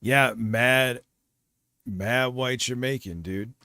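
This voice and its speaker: A man's voice: mid-range voice, slow, pushed and bright, smooth, no room sound. A middle-aged man streaming casual commentary, rambling and swearing as he reminisces. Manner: profane, meandering, reminiscing. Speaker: streamer reacting to another stream.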